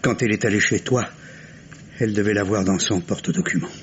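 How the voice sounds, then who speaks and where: A dull, hissy old radio recording carries a low-voiced, anguished man played by a male actor. He speaks gravely and earnestly, torn between love and suspicion, in theatrical 1950s mystery style. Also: fairly quick, natural voice.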